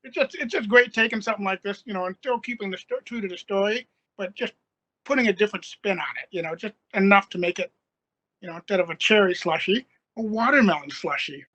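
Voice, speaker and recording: A man's voice: high-pitched, quick pace, pushed and bright, low-quality recording. A man who acts in radio plays, chatting casually and rambling a little on a panel. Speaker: radio play cast member.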